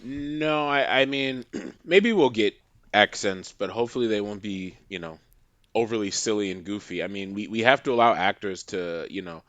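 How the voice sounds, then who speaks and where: A man's voice: fairly low pitch, moderate pace, fairly bright tone, no echo, lo-fi sound. A man from Massachusetts, a podcast guest, rambling with many restarts in casual chat.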